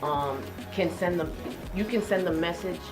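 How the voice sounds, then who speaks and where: A young woman with a deep-set voice speaks conversationally to young students, encouraging and emphatic. She sits a little away from the microphone in a room, with music clearly audible behind her. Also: moderate pace. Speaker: radio host being interviewed. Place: indoors in a room. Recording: distant mic, music behind.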